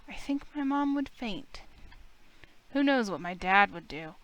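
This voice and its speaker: Woman, medium pitch, clean resonant tone, first-person story reading, visual novel narrator. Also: expressive, a little slow. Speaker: game player reading a visual novel aloud.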